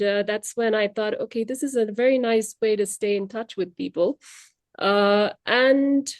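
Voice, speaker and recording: A woman's voice: medium pitch, a little mellow, no echo, studio quality. A young adult woman, Tamil native, talking about her work as a language trainer in a reflective, chatty way, with fillers and restarts. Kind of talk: casual interview. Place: Luxembourg.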